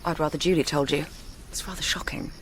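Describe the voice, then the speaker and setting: A woman's voice: low-pitched, fairly even intonation, quick pace, a little hiss or hum, natural voice, low-quality recording. An actress playing an articulate young Englishwoman, educated, confiding and lightly teasing, in naturalistic radio drama dialogue. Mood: playful, teasing.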